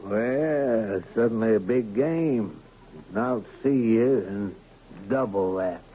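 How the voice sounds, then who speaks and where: An actor playing a ruthless, bullying gambler who runs a Western town, sneering and menacing, in radio drama style. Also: male voice, lowish voice, slow pace, warm tone. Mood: hard, suspicious. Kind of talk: 1970s radio drama acting. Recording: muffled, noisy 1970s radio drama recording.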